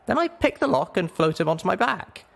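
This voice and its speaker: Male narrator as young mare, very high voice, swooping pitch, stubborn, anxious, earnest, storybook heroine. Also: very animated, brisk, creaky, a bit bright, full character voice. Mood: earnest planning. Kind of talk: audiobook character voice.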